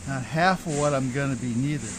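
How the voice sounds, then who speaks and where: A man acting a rough, hard-drinking riverboat man who whines and pleads in folksy, uneducated speech in a radio play. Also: mid-range voice, fairly quick, a little hiss or hum, close to an everyday voice, poor audio. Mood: drunken defiance.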